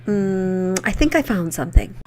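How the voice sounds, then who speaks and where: A woman's voice: medium pitch, very animated, normal speed, darkish tone, lightly acted. Woman playing a helpful young superheroine. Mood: willing. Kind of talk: amateur audio drama acting.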